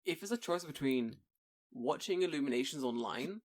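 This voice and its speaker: A young man from England, co-hosting a casual podcast, tells animated stories, laughs often and swears casually, on a boom-arm studio mic in a small room. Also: normal speed. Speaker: podcast co-host. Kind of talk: casual chat. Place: small home room, indoors.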